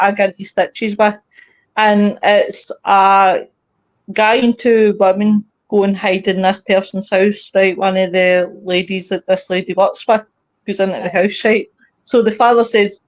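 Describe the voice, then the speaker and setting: A woman hosting a live show in casual chat, rambling animatedly through stories with some swearing and reading out comments from viewers. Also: mid-range voice.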